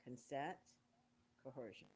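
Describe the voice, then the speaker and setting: A woman's voice: rumbling low, expressive, slow, darkish tone, a little hiss or hum. A woman in her sixties hosting a casual talk show, quizzing her guests in a playful, game-like way. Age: 60s. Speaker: radio show host.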